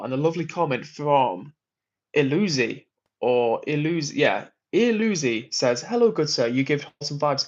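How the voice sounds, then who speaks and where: A man's voice: medium pitch, lo-fi sound. Chatty man reading stream chat. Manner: chatty, rambling, friendly to chat. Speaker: livestream host. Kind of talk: casual livestream chat reading comments. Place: hotel room.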